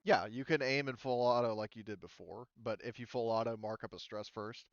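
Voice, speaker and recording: A man's voice: medium pitch, fairly quick, no room sound, lo-fi sound. A man running a tabletop roleplaying game as game master, explaining rules and action casually with some swearing.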